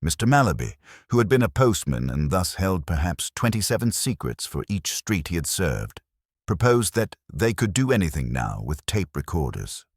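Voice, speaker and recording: A man narrating a scripted mystery story in a dry, wry, measured literary manner. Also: deep voice, very animated, no echo.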